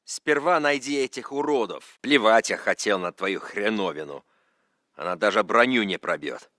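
A man voicing a gruff, cynical mercenary soldier in a video game. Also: lowish voice, expressive, normal speed, pushed and bright, no room sound, clean studio sound. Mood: irritated, dismissive.

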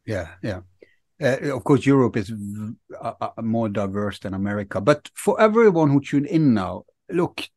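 A man in his thirties co-hosting a podcast, talking with halting restarts on a video call. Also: mid-range voice, a little mellow, no echo, clean studio sound. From Norway. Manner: hesitant, repeats words. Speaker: podcast co-host. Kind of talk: casual conversation. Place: home study with bookshelves, video call. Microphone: headphones and studio mic.

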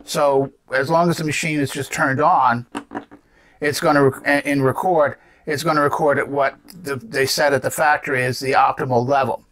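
A man's voice: medium pitch, fairly even intonation, moderate pace, fairly bright tone. A man in his seventies giving an unscripted gadget demonstration in an explanatory way, posing questions and answering them himself. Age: 70s. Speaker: presenter demonstrating vintage gadgets.

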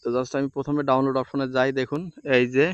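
A man walks listeners through an on-screen task in an informal way. He talks quickly and repeats his pointers as he goes. The recording is close and dry. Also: medium pitch, flat delivery, smooth, no echo, lo-fi sound. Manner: repetitive, instructional phrasing. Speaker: video editing tutorial presenter. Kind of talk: informal step-by-step screen tutorial.

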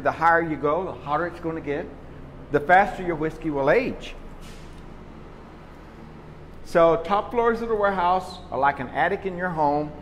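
A middle-aged man giving a folksy, question-and-answer tour of a barrel warehouse on a live stream, filmed on a phone. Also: fairly high pitch. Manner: folksy, explanatory, asks questions and answers them. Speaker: distillery tour guide. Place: large indoor barrel warehouse and walk-in cooler. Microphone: phone camera held by someone walking with him. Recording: long reverb, faint noise in a big warehouse.

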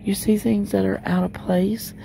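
Chatty woman with a gravelly, mellow voice. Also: mid-range voice, flat delivery, normal speed, slight background noise, poor audio. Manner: chatty, many "um" and "uh". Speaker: shopping vlogger. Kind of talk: casual store walk-through. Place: inside a large discount store. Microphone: handheld phone camera.

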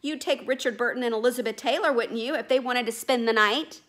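A woman voicing a bold, insistent male boatman in a storybook reading, his lines loud and emphatic. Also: on the high side, fairly quick, pitched above the performer's own voice, lightly acted.

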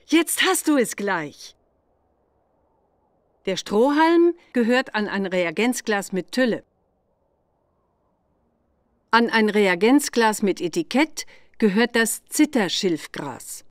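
Slow-spoken patient witch teacher. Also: medium pitch, big pitch swings, no room sound, clean studio sound. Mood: patient, instructive. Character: older witch examiner, patient female instructor, affectionate. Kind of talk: children's game voice acting, instructional.

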